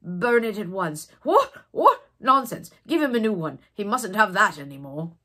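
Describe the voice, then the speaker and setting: A woman's voice: medium pitch, very animated, normal speed, fairly bright tone. A woman voicing a stern male doctor from a storybook, clipped and dismissive as the character gives orders. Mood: brusque, dismissive.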